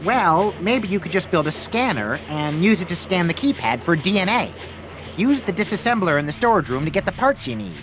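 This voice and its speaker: A man's voice: high voice, lively intonation, fairly quick. A man voicing an eccentric, whimsical young painter who rambles playfully, in a game cutscene. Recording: game cutscene over music.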